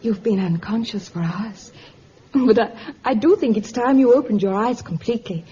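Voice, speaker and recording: A woman's voice: fairly high pitch, normal speed, mellow, lightly acted. An actress playing a tender, lovestruck young woman with a hesitant, pleading manner in a vintage radio drama. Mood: tender, shy. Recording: muffled, noisy old radio recording.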